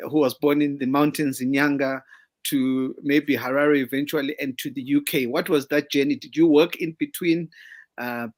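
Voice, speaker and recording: A man with a Zimbabwean accent hosting an online interview show, formal and full of fillers and restarts. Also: fairly high pitch. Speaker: host.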